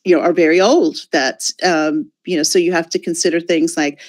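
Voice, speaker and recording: An American woman with a fairly low voice answers a question at a conference. She thinks aloud, with many fillers and restarts. The sound comes over a video call. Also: fairly even intonation, brisk. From United States. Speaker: guest speaker.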